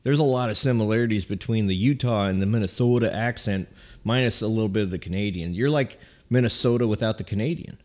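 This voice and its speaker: A man hosting a podcast in casual chat, teasing his guests and quick to laugh. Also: lowish voice, darkish tone. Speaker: podcast host.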